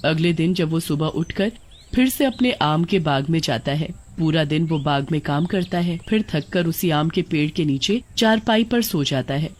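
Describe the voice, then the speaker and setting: A woman's voice: deep voice, normal speed. A woman storyteller reading a scripted tale as narrator.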